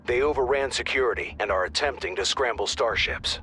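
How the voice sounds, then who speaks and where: An actor playing a brisk male mission handler in a tactical game, directing a squad with dry, wry wit. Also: medium pitch, bright, forceful tone, a little hiss or hum, close to an everyday voice. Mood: urgent. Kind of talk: scripted game mission briefing.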